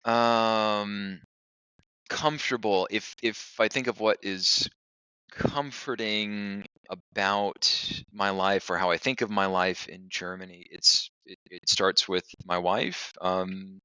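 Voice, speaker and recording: A man's voice: lowish voice, fairly even intonation, slow, pushed and bright, clean tone, no room sound. A man speaking as a podcast guest, casual and reflective, picking his words thoughtfully with repeats, on a close, slightly muffled mic. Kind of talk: casual, reflective interview talk.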